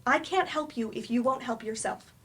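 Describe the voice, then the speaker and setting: Actress as a high-voiced, exasperated therapist. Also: female voice, moderate pace, husky. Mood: pointed, exasperated. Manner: pointed, confrontational. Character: frank, exasperated therapist, challenging a resistant patient. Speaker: stage actor in a staged play reading. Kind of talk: staged play reading, dramatic dialogue. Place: library staged reading.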